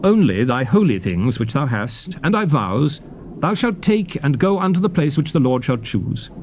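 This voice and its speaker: A man gives a dramatic, formal reading of scripture. His mid-range voice moves widely in pitch, with solemn, measured phrases and firm emphasis. A faint layer of noise from an old cassette recording sits behind him. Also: sing-song, a little mellow, muffled recording. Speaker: narrator of a dramatized scripture audiobook.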